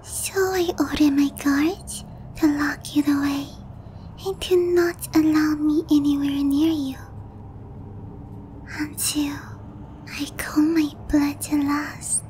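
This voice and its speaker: A woman playing an obsessive young vampire princess in a slow, cooing, breathy half-whisper for an ASMR roleplay, sweetly menacing and possessive. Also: high voice, little pitch movement, dark tone, slight background noise. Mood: lovestruck, wistful. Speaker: roleplay voice actress.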